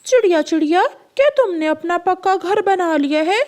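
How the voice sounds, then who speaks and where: A woman's voice: very high voice, normal speed, darkish tone, voice pushed up. A woman voicing a cheeky, teasing male parrot in a children's cartoon, with a mocking sing-song manner. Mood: curious.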